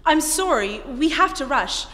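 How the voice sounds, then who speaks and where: A woman's voice: high voice, expressive, a little slow, pushed and bright, exaggerated voice. A woman playing a polite, effusive young engaged woman in a 1930s-style radio drama. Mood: eager. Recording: echoey hall, faint noise.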